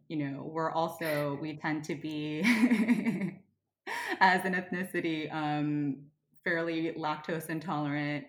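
A woman from Korea with a low voice, talking in an easygoing, thoughtful way. Her talk is loose and full of fillers. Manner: relaxed, reflective, many fillers. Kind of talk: casual chat.

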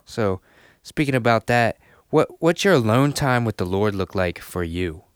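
Man, host, friendly questions, smooth tone, dry sound. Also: on the low side, animated, measured pace, no echo. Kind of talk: casual faith interview.

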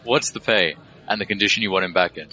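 Audio drama role: male actor as wary dwarf mercenary boss, deep bright voice, matter-of-fact commands. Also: fast talker, low-quality recording. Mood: businesslike. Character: male dwarf adept, businesslike, wary mercenary team leader.

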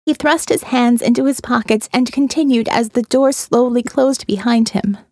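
A woman's voice: on the high side, moderate pace, darkish tone, dry recording. A woman reading a first-person audiobook in a wry, conversational way. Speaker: audiobook narrator.

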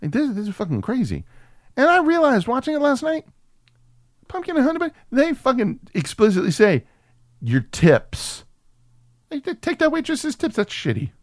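A man's voice: extremely high pitch, huge pitch swings, normal speed, no echo, studio quality. A man co-hosting a film podcast, chatting casually with profane, joking banter.

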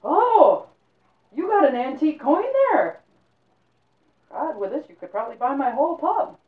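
A woman voicing a loud, chatty male tavern keeper in a told story, raised high and eager, over a tinny, echoey line. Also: quick pace, far from the mic. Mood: excited. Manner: loud, excitable and talkative. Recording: echoey, phone-like sound.

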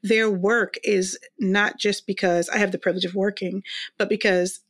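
Woman, co-host, animated, conversational, quick bursts of talk. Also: medium pitch. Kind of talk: casual chat.

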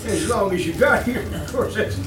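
An actor in an old-time radio drama playing a fussy, smarmy swindler posing as a genteel employer, stammering, repeating himself and chuckling nervously. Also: male voice, high-pitched, lively intonation, moderate pace. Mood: flustered welcome. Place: live theatre stage. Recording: roomy distant live stage, music behind.

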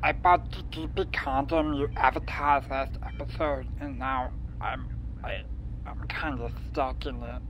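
Man playing a slow, timid, scared caller. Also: mid-range voice, steady tone, noisy background, natural voice. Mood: nervous, sheepish. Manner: hesitant, sheepish. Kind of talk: comedy radio skit.

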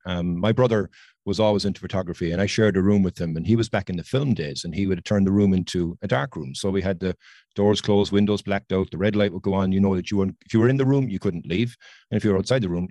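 A man's voice: deep voice, mellow, no echo, studio quality. A middle-aged Irish man from Ireland, a guest on a podcast interview, talkative and enthusiastic over a video call. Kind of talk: conversational interview.

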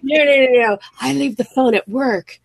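A woman in casual conversation, sounding sick and slightly gravelly, telling stories animatedly and acting out exchanges. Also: high-pitched, normal speed, a little hiss or hum. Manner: animated storytelling, acts out exchanges. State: sick, voice deeper and more gravelly than usual. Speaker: podcast guest, small business owner who ships orders. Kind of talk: casual chat.

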